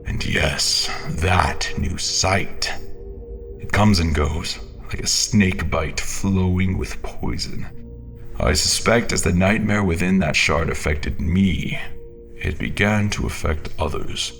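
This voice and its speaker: A man playing a haunted, guilt-ridden fantasy general who narrates in a solemn, brooding, theatrical way, sometimes halting and slipping toward whispers. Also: low voice, gravelly, fairly bright tone, exaggerated voice. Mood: dark, intense. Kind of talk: dramatic scripted first-person fantasy narration. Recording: background music under the voice.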